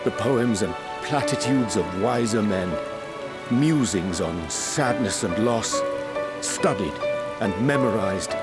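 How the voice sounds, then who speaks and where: An actor playing a guilt-ridden young scholar in a fantasy game, solemn and halting, with formal archaic diction. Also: male voice, on the low side, animated. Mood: anguished, halting. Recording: rain and music behind. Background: rain, music.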